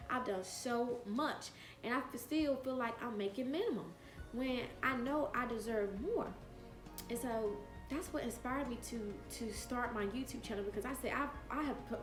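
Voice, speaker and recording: A woman's voice: on the high side, roomy sound. A woman in her late twenties telling a personal story on her vlog, earnest and emphatic, repeating phrases to drive her point home. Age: 20s. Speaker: vlogger.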